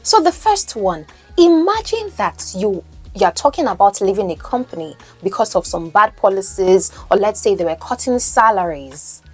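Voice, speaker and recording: A woman's voice: medium pitch, very animated, normal speed, a little mellow, breathy edge, soft background music. A Nigerian woman whose first language is Yoruba, teaching English as a coach and podcast host, explaining and asking the listener questions. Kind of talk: teaching lesson, mixing in Pidgin.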